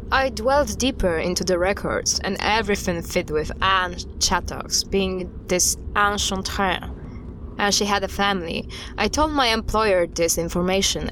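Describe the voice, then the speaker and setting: A woman playing a guarded investigator in an audio drama, recounting an unsettling case in a halting, deliberate way. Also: mid-range voice, a bit bright, slight background noise, close to an everyday voice, poor audio. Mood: intent. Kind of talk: audio drama dialogue, storytelling.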